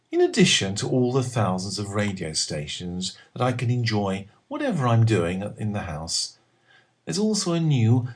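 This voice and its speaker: Middle aged english guy reading out his own essay, bit husky, really animated up and down intonation. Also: on the low side. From England. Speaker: writer reading own column. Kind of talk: scripted personal essay narration.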